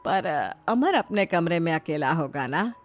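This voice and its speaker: A woman's voice: deep voice, lively intonation, measured pace, faint music behind. A woman voicing a middle-aged mother-in-law in a family story, caring and hesitant yet slyly demanding. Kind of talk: dramatized Hindi family storybook.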